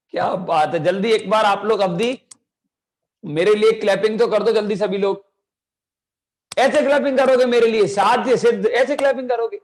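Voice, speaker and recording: Young guy teaching kids over a video call, high voice, sore throat, super peppy. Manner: energetic, coaxing kids to shout. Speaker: children's class teacher. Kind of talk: online children's class.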